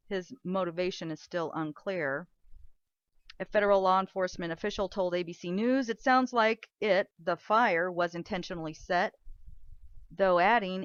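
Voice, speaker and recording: A woman's voice: on the low side, fairly bright tone, dry recording. A woman presenting the news, reading reports aloud in a somewhat informal way. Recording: dry, dull lo-fi recording.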